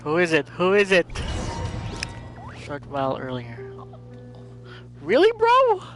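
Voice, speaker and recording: A man's voice: a little high, huge pitch swings, slow, fairly bright tone, soft background music. A man live streaming a game, reacting casually and off the cuff with repeated questions.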